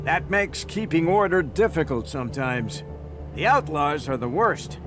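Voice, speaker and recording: Game official, male, high voice, very animated, fussy, anxious, easily flustered. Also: unhurried, over music. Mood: earnest, weary. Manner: flustered, stammering when rattled. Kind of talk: video game dialogue acting.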